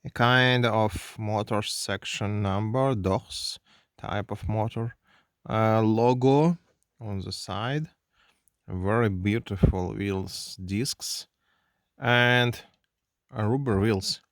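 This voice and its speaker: A man with a Russian accent reviewing a toy car at his desk, speaking halting English full of fillers and restarts. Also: lowish voice, no echo, studio quality. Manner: halting non-native English with many fillers. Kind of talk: hands-on unboxing review. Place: indoors at a desk.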